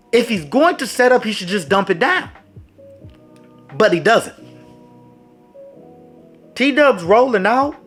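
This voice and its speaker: A man telling a dramatic sports story in a casual storytime vlog, talking straight to his viewers. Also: sits high. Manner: dramatic, animated, speaks to viewers directly. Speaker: storyteller vlogger. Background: faint background music.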